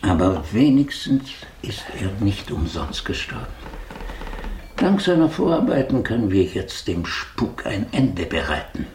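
An older man acting in a radio play as a sober, methodical doctor who investigates, speaking formally and deliberately. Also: lowish voice, big pitch swings, darkish tone, a little hiss or hum. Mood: solemn, measured. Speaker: radio drama actor.

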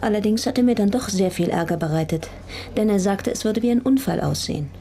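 An actress playing a cold, defiant heiress in a radio crime drama, speaking in a cool, matter-of-fact way. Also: female voice, medium pitch, a little fast, warm tone, lightly acted. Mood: confessing. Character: cold, defiant heiress who had her father killed.

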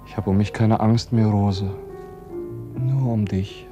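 A man's voice: lowish voice, steady tone, slow pace. A man playing an earnest, protective husband in a 1950s radio play, grave and tender. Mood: grave tenderness. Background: music.

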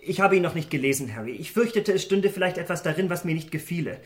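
Male actor for impulsive, self-absorbed young gentleman in radio play; lighter high register, earnest theatrical delivery. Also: expressive, fast talker, lightly acted. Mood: uneasy. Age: young adult. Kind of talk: literary radio drama acting.

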